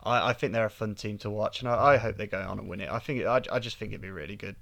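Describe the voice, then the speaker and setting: A man's voice: lowish voice, racing, gravelly, fairly bright tone, dry recording. Guest, male, chatty and opinionated, dry close sound. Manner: chatty, opinionated, laughs easily. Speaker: podcast guest. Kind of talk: casual sports podcast chat.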